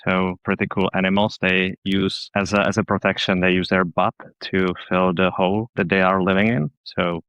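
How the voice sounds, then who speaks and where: A man's voice: deep voice, flat delivery, normal speed, few pauses, a bit bright, smooth, no room sound, dull audio. A man in his thirties from the Czech Republic with a Czech accent, a podcast guest talking casually with many restarts and fillers. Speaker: podcast guest, newsletter publisher. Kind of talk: casual interview chat.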